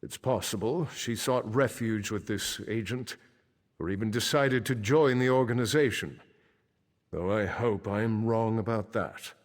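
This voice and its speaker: An actor playing a proud, worried dark elf father and nobleman, speaking in a guarded, formal, measured way in a video game. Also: on the low side, close to an everyday voice. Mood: grave concern. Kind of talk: video game dialogue acting. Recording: long hall reverb, faint music behind.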